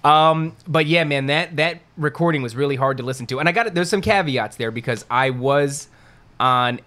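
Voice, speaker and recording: Chatty self-deprecating man, higher voice. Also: moderate pace. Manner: chatty, self-deprecating, loud and animated at times. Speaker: podcast co-host. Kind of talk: casual podcast chat.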